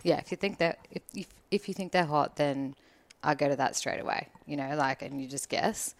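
A young woman with a very low voice gives blunt, opinionated advice in casual podcast chat. She swears freely and speaks with easy confidence. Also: moderate pace, dry recording. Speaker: podcast guest.

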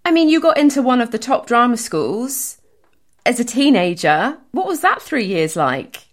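A woman's voice: on the high side, very animated, moderate pace. A woman interviewing a guest in an encouraging, attentive way. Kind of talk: conversational interview.

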